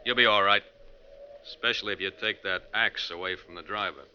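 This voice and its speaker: Male passenger, wary and pointed: lowish, deliberate, 1940s radio acting, faintly noisy vintage sound. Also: pushed and bright. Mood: wary, measured. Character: level-headed but suspicious male passenger, curt and pointed. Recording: muffled, noisy 1949 radio recording.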